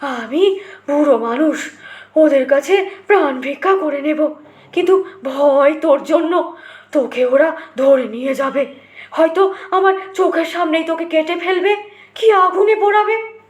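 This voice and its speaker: An old village father , voiced by a woman, speaks with protective urgency in an audio storybook. The voice sits high and repeats words in frightened pleading. The recording has a roomy echo. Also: a little slow. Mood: fearful, grieving. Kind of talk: audio storybook character acting.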